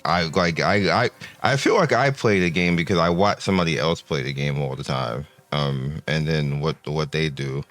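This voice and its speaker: An older man with a very low, deep voice talks casually to his gaming channel's viewers. He rambles and restarts often as he goes through the numbers. Faint music plays quietly behind him. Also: normal speed, dry recording.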